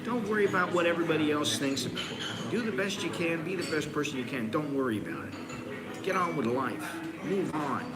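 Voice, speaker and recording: A man's voice: fairly high pitch, animated, fairly quick, over loud music. A man in his sixties from the United States hosts a casual live stream from a busy coffee shop, giving blunt, rambling advice with frequent restarts. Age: 60s. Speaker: host. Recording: roomy, crowded cafe. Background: crowd chatter.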